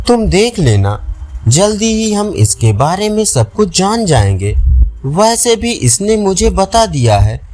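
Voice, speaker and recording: A man's voice: high voice, a little mellow, slight background noise, exaggerated voice. A man voicing a trusting, friendly male deer in a storybook fable, earnest and persuading.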